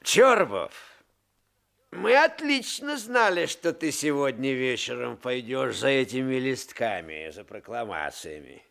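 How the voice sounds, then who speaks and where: An actor in a Soviet radio drama playing a smug, menacing tsarist police officer, theatrical, swinging from muttering to sudden barks. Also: male voice, medium pitch, very animated, measured pace, gravelly, a bit bright, heavily acted. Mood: gloating, accusing.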